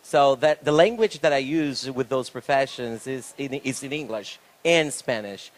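A middle-aged Brazilian man, Portuguese native, speaking English as a talk show guest with a handheld mic, relaxed with many fillers. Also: medium pitch, moderate pace. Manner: relaxed, laughs easily, many fillers like "you know". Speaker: guest, school owner and pastor. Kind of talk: interview in English. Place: indoor talk show stage on a sofa.

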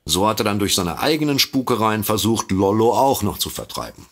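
An actor playing a droll, self-satisfied writer and sidekick in a comedic audio drama, teasing with drawn-out emphasis. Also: male voice, on the low side, very animated, a bit bright, full character voice. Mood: smug.

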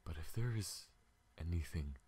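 A man playing a gentle, honorable, wounded alien pilot in an ASMR audio roleplay, breathy and halting, often slipping into a whisper. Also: low-pitched, lively intonation, slight background noise. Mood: sincere, soft. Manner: slow, halting, earnest. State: weak and recovering from wounds. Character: gentle, honorable, battle-worn tall male alien pilot.

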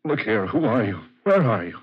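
A man's voice: lowish voice, very animated, moderate pace. An actor playing an anxious, bewildered male office clerk in a 1940s horror radio drama. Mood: doubtful, uneasy. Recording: dull vintage 1940s radio recording.

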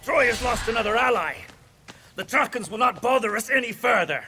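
An actor playing an exultant Trojan warrior in a dramatic video game. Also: male voice, extremely high pitch, expressive, a little fast, bright, forceful tone, soft background music, full character voice. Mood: triumphant.

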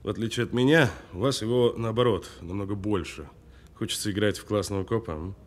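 An actor playing a cool, sardonic detainee who needles a policeman with deliberate, mocking delivery in an audio drama. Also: on the low side, lightly acted. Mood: calm, sardonic. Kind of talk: naturalistic audio film drama acting.

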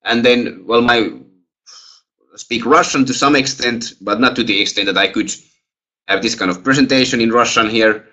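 A man's voice: mid-range voice, moderate pace, pushed and bright, rough recording. A young man with a Finnish accent, a lecturer answering questions in a webinar over a headset on a video call, hesitant, with many fillers and restarts. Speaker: guest lecturer.

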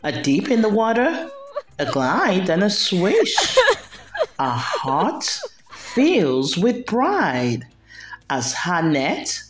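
A woman reading a children's picture book aloud, expressively and with deliberate enunciation. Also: fairly low pitch, huge pitch swings. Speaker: storyteller. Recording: roomy echo, background music. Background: music.

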